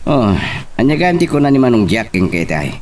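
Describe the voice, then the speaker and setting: A man's voice: medium pitch, very animated, moderate pace, a little mellow, a little hiss or hum, lightly acted. A man playing a plain-spoken confidant in an Ilocano radio drama. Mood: matter-of-fact. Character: older-brother figure, a plain-spoken confidant.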